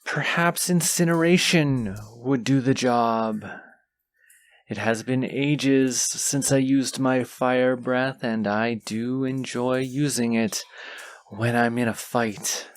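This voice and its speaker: A haughty wounded dragon, acted by a man, from a fantasy audio roleplay, guarding its hoard with pompous menace. The delivery is extremely slow and deliberate, lingering on threats at a medium male pitch. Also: fairly even intonation, dry recording, lightly acted. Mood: musing, menacing.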